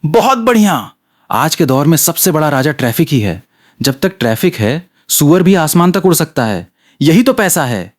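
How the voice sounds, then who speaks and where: A man voicing an excitable, blustering show director who exclaims dramatically. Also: fairly high pitch, moderate pace, pitched above the performer's own voice. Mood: delighted.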